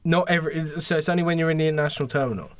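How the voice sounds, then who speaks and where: A man's voice: on the high side, very fast, a bit bright, dry recording. A British man co-hosting a podcast in casual banter, argumentative and insistent. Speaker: podcast co-host.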